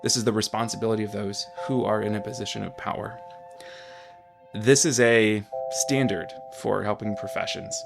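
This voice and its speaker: A man speaking as a counselor, explaining in a measured, careful way. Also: lowish voice, steady tone, vocal fry, music in the background. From Michigan. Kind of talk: interview commentary.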